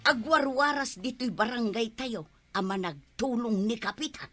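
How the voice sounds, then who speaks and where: A woman's voice: fairly high pitch, moderate pace, a bit bright, faint music behind. A woman with an Ilocano accent acting a practical, scolding wife of a poor villager in a Filipino radio comedy drama. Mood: prodding.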